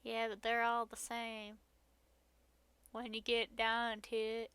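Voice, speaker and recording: A woman's voice: on the high side, completely flat delivery, takes it slowly, a bit bright, clear, resonant tone, no echo. A woman co-hosting a podcast in casual banter, with a playful, mock-dramatic way of talking. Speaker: podcast co-host.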